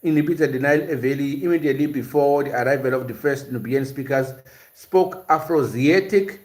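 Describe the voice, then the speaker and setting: A man's voice: mid-range voice, normal speed, darkish tone. A man hosting a live-streamed language and culture lesson, explaining in a hesitant way with frequent fillers and repeated words, and answering viewers' comments. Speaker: host of a language and culture series.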